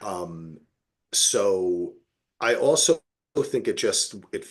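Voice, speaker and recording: A man podcasting in casual review chat, his pitch rising and falling in lively swings. The voice is somewhat husky, and he speaks with emphatic, opinionated conviction, swearing freely. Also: drawn-out words. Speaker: podcast co-host.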